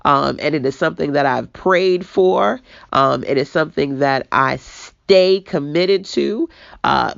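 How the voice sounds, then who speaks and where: A woman from Brooklyn with a deep, raspy voice, giving a chatty motivational talk as a business coach, laughing and quoting people as she goes. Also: no echo. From Brooklyn, New York. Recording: dull lo-fi dry recording.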